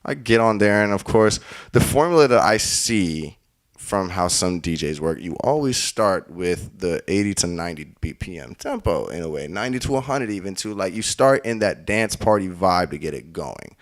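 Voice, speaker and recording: Laid-back young man with a lowish voice. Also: animated, moderate pace. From Caribbean. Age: 20s. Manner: storytelling, laughs often, acts out quoted speech. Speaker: guest music producer. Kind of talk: casual chat.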